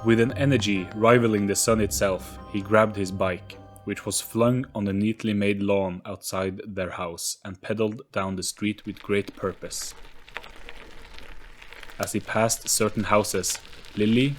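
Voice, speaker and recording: Low-voiced man narrating a spooky story. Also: fairly even intonation. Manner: deliberate, theatrical storytelling delivery. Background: music.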